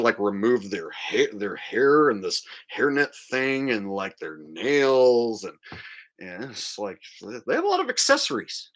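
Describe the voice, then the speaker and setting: A man's voice: fairly low pitch, fairly bright tone. A man hosting a livestream, delivering a casual, crude, mocking rant in a monologue. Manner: mocking, crude, acting out mimicry. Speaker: livestream host.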